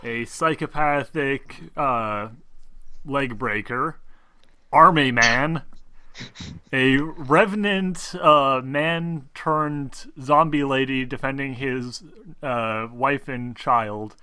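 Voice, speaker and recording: A man's voice: mid-range voice, extremely slow, pushed and bright, clear, resonant tone, no room sound. A man acting as game master, explaining rules casually and teasing his players with sarcasm.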